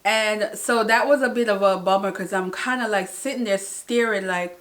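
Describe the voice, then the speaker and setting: A woman's voice: medium pitch, normal speed, fairly bright tone. A woman vlogger chatting casually about her day, with lots of fillers and restarts. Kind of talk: casual vlog recap.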